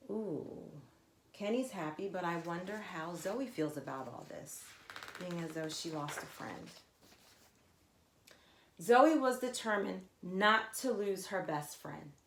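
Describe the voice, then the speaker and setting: A woman reading a children's story aloud expressively, with deliberate emphasis and asides to her listeners. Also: medium pitch. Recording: echoey, roomy sound.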